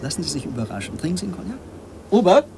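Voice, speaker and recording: An actor playing an officious, evasive conference organizer with a wordy, self-important manner in a radio drama. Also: male voice, high-pitched, sing-song, very fast, full character voice. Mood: fussy, insistent. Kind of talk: German radio drama dialogue. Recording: clear background noise.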